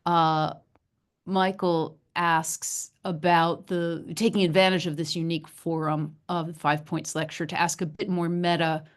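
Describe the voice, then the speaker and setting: A woman moderating a lecture, reading out an audience question in a measured way with some hesitant fillers. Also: fairly low pitch, little pitch movement.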